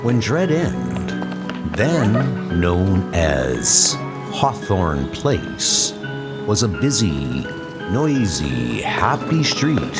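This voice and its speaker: A man giving dramatic, suspenseful narration of horror stories, drawing out his phrases theatrically. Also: on the low side. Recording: music behind the voice. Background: dramatic music.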